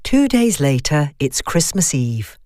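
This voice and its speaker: A woman reading a scripted horror story as its narrator, measured and suspenseful. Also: deep voice, big pitch swings, dark tone, no room sound, studio quality.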